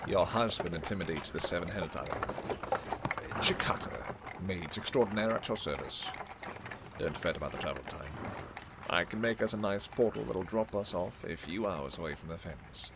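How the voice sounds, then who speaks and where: A man's voice: low-pitched, lively intonation, normal speed, very noisy. A man voicing a showy, chuckling mage with a casual, playful manner in a fantasy audio roleplay. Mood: breezy, teasing. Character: breezy, chuckling male royal mage, cheeky and showy.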